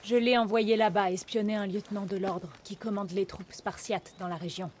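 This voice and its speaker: A woman's voice: medium pitch, steady tone, normal speed, a little hiss or hum, lightly acted. A woman acting a determined young huntress in a dubbed video game, earnest and urgent. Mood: serious, resolute. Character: determined young huntress worried for her stubborn father.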